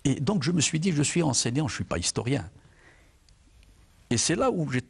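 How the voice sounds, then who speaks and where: Older guest, gravelly and husky, reflective, stumbles over repeats, lapel mic in a studio. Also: male voice, fairly high pitch, big pitch swings, a little fast, a little mellow. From Algeria. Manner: reflective, repeats words while searching. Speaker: author and jurist, guest. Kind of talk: studio interview. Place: TV studio set, indoors.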